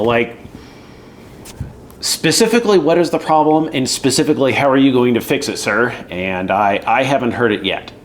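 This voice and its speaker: Guy doing casual opinion commentary, chatty but emphatic, mid pitch, bit of background noise. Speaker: commentator reacting to a news segment.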